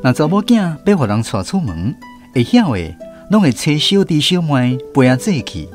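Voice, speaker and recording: Taiwanese guy narrating a radio story, folksy n expressive, really lively intonation. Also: fairly high pitch, moderate pace, faint music behind.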